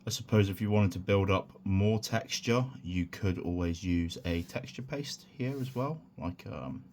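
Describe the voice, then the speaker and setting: Man, very low voice, croaky sore throat, rambling tutorial talk, faint hiss. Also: a little slow. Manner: relaxed, rambling, many fillers and restarts. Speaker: hobby tutorial host. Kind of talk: casual hands-on tutorial.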